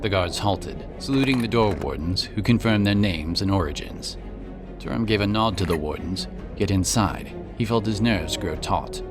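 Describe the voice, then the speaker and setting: A man's voice: on the low side, animated, normal speed. A man narrating a scripted fantasy audiobook as a storyteller. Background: prominent background music.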